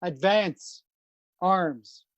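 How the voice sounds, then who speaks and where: A woman with a slightly low voice calls out military drill commands in short, clipped phrases. She leaves long pauses between them, at a very slow pace. The recording is close and dry, though somewhat muffled. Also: no echo. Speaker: drill caller.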